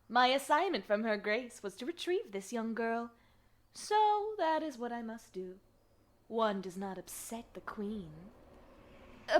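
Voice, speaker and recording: A woman's voice: sits high, a bit bright, heavily acted. A woman voicing a deranged, sadistic female assassin devoted to her queen in an animation fandub, with a menacing, sing-song delivery. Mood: sinister, playful, then frantic, fawning. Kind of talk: dramatic animation fandub acting.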